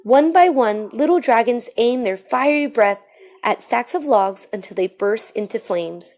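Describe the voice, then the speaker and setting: A woman reading a children's storybook aloud in an expressive way. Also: on the high side, unhurried. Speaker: storybook reader.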